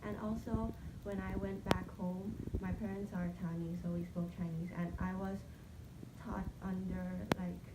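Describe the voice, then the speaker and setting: A woman's voice: lowish voice, level tone, moderate pace. A young woman from Thailand in her twenties, chatting casually in an interview, with lots of fillers. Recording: echoey room, background noise.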